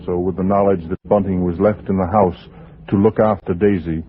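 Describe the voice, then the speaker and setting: A man narrating a 1940s radio suspense tale as a grave, measured, dramatic storyteller. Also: deep voice, steady tone, faint music behind, natural voice. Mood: grave, suspenseful. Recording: muffled, noisy 1940s radio recording.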